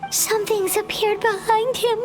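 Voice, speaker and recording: Game sidekick: tiny childlike fairy, squeaky, extremely high, exclamatory, music underneath. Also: female voice, little pitch movement, normal speed, low-quality recording. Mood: alarmed. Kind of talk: video game character acting.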